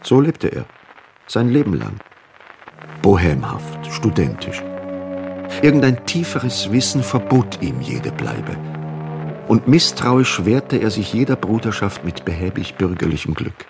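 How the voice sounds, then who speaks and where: Animated, deep-voiced literary man. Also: a little slow, vocal fry, heavily acted. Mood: elegiac fondness. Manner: eloquent, elegiac. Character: literary man fondly remembering a wandering friend. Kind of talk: radio drama recollection. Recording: music under the voice. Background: instrumental music.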